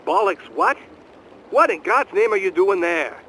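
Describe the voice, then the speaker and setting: Blustering middle-aged boss: high, hard-edged male voice, brusque, impatient, faint noise behind. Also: expressive, unhurried, sharp tone, full character voice, low-quality recording. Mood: impatient, exasperated. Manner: brusque, exasperated. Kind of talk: video game voice acting.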